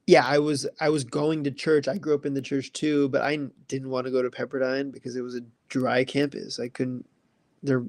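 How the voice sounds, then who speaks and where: Young man in his twenties, gravelly low-key voice, rambling casual chat host, dry close mic. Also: a little mellow, no echo. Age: 20s. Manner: loose, rambling, filler-heavy. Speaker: livestream host answering chat.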